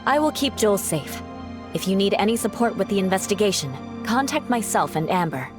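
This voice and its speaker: A woman's voice: medium pitch, expressive, a little fast, over music, lightly acted. A woman playing a proud, stern young noblewoman knight in a video game, with haughty, cutting formal speech. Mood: grudging, resolute.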